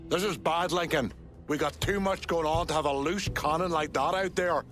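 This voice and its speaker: An actor playing a hard-nosed, exasperated Irish gangster in a video game, blunt and impatient. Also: male voice, on the high side, normal speed, a bit bright, soft background music, natural voice. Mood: urgent. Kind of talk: video game cutscene acting.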